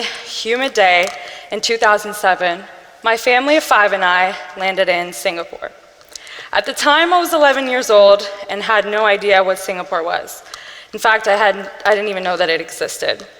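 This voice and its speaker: A young woman giving an earnest personal storytelling speech, measured, with pauses between phrases. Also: mid-range voice, fairly bright tone. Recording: long reverb from a hall-like room.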